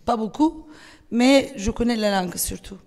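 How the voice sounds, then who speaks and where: A woman with a Turkish accent, a native Turkish speaker, speaking at a festival panel, hesitant, with many fillers and restarts, animated when she tells a story. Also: a little high, moderate pace, creaky. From Turkey. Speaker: panel guest, writer. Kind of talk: panel discussion. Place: festival hall. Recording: long hall reverb.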